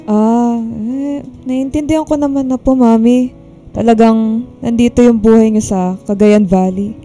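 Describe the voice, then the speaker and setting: A woman's voice: a little high, steady tone, measured pace, warm tone, faint music behind, natural voice. A woman playing a timid, polite young adult Filipino daughter in a radio drama, speaking hesitantly and evasively. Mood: tentative.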